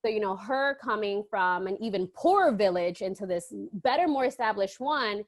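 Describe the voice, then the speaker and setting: A woman's voice: mid-range voice, animated, moderate pace. A woman from the Dominican Republic chatting as an interview guest, telling stories casually and quoting people as she goes. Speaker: podcast guest, entrepreneur.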